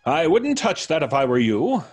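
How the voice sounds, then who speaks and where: A courteous stranger from an old-time radio thriller, with a husky voice that swings widely in pitch. He hums thoughtfully, chooses his words with care and needles with quiet menace. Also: very animated, soft background music. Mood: coaxing, commanding. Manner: deliberate, musing with frequent hums, needling. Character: polite, probing, quietly menacing male visitor.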